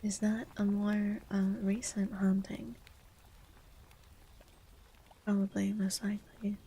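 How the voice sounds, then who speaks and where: A woman in a low, feminine register, speaking almost entirely in soft unvoiced whispers. Her tone is mellow and her pitch stays very level. She chats and reads aloud in a steady, sleepy ASMR style. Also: slow, breathy edge. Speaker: streamer. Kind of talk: whispered ASMR chat and legend reading.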